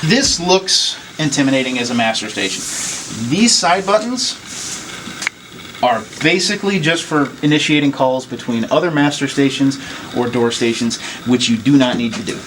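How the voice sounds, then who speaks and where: A man's voice: medium pitch, expressive, moderate pace. A man giving an informal hands-on training demonstration, explaining things plainly and step by step. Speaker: technician giving staff training. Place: indoors, at an equipment station. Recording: noisy room, clear background noise.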